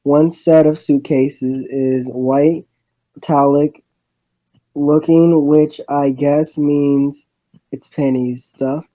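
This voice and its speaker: A man reading a first-person visual novel story aloud, haltingly and with stumbles over the words. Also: on the high side, fairly even intonation, dull audio.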